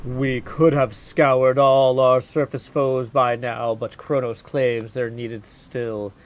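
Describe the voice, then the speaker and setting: A game streamer voicing a solemn fantasy game character who gives counsel in a slow, formal, weighty way. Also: medium pitch, level tone, natural voice. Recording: clear background noise.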